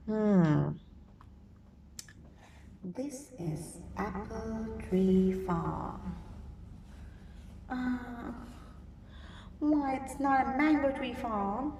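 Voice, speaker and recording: A woman reading a picture book aloud to young children, expressively, pausing to think aloud and ask them simple questions. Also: mid-range voice, mellow. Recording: roomy, distant mic, clear background noise.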